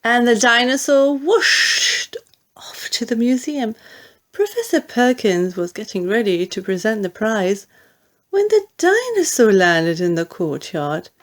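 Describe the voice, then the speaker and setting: Slow, lively woman reading a storybook. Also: on the high side. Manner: expressive, deliberate. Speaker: audiobook narrator.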